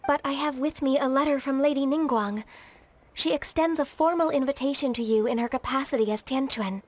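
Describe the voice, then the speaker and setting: An actress playing a dutiful young secretary and emissary in a game, formal, courteous and earnest. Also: female voice, high-pitched, fairly quick, darkish tone, slight background noise, natural voice. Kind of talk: English game dub cutscene acting.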